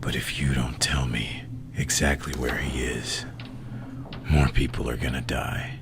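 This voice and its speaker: A man playing a grim, commanding masked vigilante in an ASMR roleplay, stern and terse, with a hushed, gravelly growl. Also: rumbling low, little pitch movement, measured pace, background noise, full character voice. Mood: stern, urgent.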